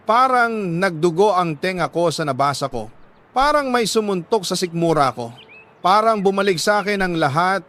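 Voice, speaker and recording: A Filipino man reading a listener's letter as a radio host, in solemn, sentimental dramatic narration with deliberate pauses. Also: on the high side, fairly bright tone. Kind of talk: dramatic scripted narration of a confessional love letter.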